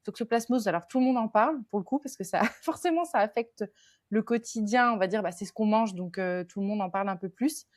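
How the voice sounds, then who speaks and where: A woman hosts a podcast in casual chat, pausing often on fillers and restarting her sentences. Her voice sits at an ordinary middle pitch. The recording is dry and close, with no room sound. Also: no room sound. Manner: hesitant, many fillers and restarts. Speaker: podcast host.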